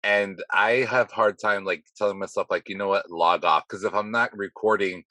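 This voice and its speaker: Deep-voiced, slightly hoarse man. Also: steady tone, normal speed, pushed and bright, dry recording. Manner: chatty, self-deprecating, rambling questions. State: slightly hoarse from heavy talking. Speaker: podcast host. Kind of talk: casual interview chat.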